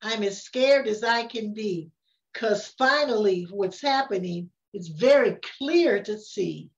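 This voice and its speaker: An older woman reading a rhyming children's story aloud, with expressive, unhurried delivery and frequent pauses. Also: medium pitch. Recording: roomy, distant, dull-sounding microphone.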